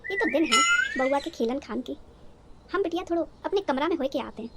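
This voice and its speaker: A woman's voice: high voice, expressive, a little fast, heavy background noise. A woman playing a fussy older village mother-in-law in a cartoon, with a rural North Indian accent and a folksy, repetitive way of talking. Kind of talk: cartoon story dialogue in rustic dialect.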